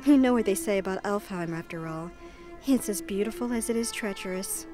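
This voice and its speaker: A woman's voice: medium pitch, a little fast, over music, lightly acted. A woman playing a determined, compassionate young goddess in an audio drama, speaking earnestly. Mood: playful. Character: young adult goddess, warm, determined, compassionate twin sister. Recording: long hall reverb.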